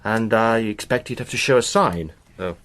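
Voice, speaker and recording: A man's voice: lowish voice, normal speed, fairly bright tone, slight background noise, natural voice. A man playing a breezy adventurer. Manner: breezy, with old-fashioned English slang. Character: adventurous upper-class British gentleman, cheerful. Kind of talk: radio drama acting.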